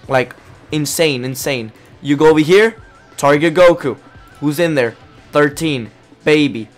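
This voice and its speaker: Hoarse young man hyping a mobile game. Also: fairly high pitch. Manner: excited, slangy, talks to viewers. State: hoarse, losing his voice. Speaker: gaming YouTuber. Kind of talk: casual gameplay commentary.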